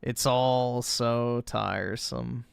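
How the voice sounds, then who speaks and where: A man streaming and reacting to clips, talking in a blunt, crude, sarcastic and deadpan way. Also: medium pitch, drawn-out words, vocal fry, clean tone, no room sound. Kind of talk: casual stream commentary.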